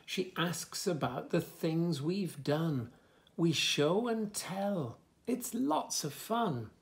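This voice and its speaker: A man in his fifties reading a picture book aloud to young children, with expressive, sing-song delivery and soft asides. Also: on the high side, slow, creaky. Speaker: children's story reader, host. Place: small furnished room indoors, bookshelves.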